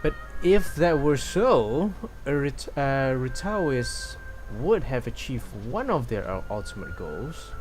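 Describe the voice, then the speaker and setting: A man's voice: mid-range voice, sing-song, slow, a little mellow, low-quality recording. A man livestreaming a game, reading dialogue aloud with some drama and stumbles, and reacting casually. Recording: game music behind the voice.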